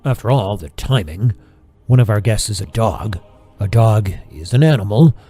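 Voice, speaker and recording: A man's voice: fairly low pitch, animated, normal speed, mellow. A man playing a scheming, self-righteous friar who speaks in pious, formal words for an audio drama. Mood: guarded, reasoning.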